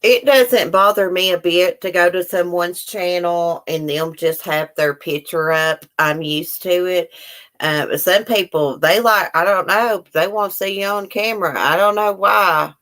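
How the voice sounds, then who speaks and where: Blunt, low-voiced Southern country woman. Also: unhurried, fairly bright tone. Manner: blunt, swears freely, plainspoken. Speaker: host of the show. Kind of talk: casual chat.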